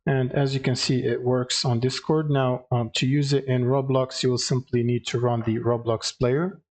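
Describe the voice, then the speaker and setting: A man with a mid-pitched voice walks through a software tutorial. He explains casually, step by step, pausing a little hesitantly as he goes. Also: level tone. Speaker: tutorial presenter.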